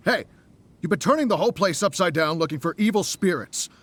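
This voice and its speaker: Annoyed event staff guy, brusque, high n very up and down, officious, video game. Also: animated, brisk, a bit bright. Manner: brusque, dismissive. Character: exasperated male event organizer, dismissive and officious.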